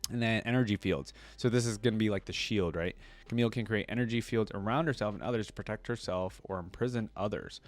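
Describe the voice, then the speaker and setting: A man doing casual reaction commentary, reading aloud and breaking off to think out loud and check in with listeners. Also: on the low side, quick pace, hardly any pauses, soft background music. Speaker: video creator reacting to game character lore.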